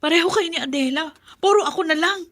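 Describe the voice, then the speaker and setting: A woman's voice: very high-pitched, fast talker, fairly bright tone. A woman playing a weary, self-sacrificing eldest daughter in a Filipino radio drama, with a pleading, emotional delivery. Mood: resentful.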